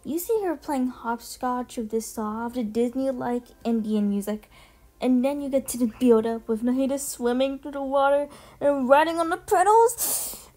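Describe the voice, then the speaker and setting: A woman's voice: sits high, moderate pace, warm tone. A woman giving casual video commentary as she presents a ranking, gushing and emphatic, with casual swearing. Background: music under the voice.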